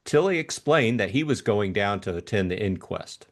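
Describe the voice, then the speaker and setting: A man's voice: lowish voice, lively intonation, normal speed. A man reading scripted story narration as an audiobook narrator.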